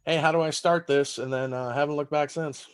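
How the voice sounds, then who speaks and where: A man's voice: on the high side, steady tone, brisk, smooth. A man in his thirties from Texas, whose first language is German, talking in a rambling, casual way as a comedian guest on a podcast, swearing freely.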